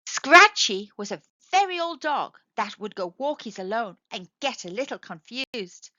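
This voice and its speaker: A woman gently and expressively reading a children's storybook aloud. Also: a little high, animated, a little slow, no echo, muffled recording. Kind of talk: children's read-aloud narration.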